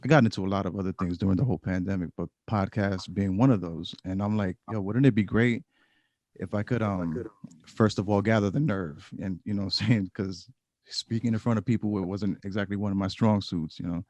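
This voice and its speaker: Laid-back low-voiced podcast host. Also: male voice, fairly quick, darkish tone, dry recording. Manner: loose and rambling, many fillers. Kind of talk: casual podcast chat.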